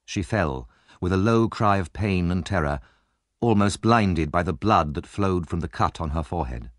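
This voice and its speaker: A man's voice: sits low, lively intonation, normal speed, no room sound, studio quality. A man reading an audiobook as narrator, in a dramatic scripted storytelling style.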